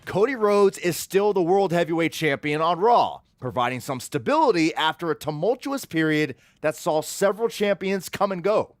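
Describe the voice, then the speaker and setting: A woman playing a matter-of-fact wrestling show co-host in a video game cutscene, speaking in a measured presenter's manner. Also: on the low side, lively intonation, no echo, faint music behind, close to an everyday voice. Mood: presenterly.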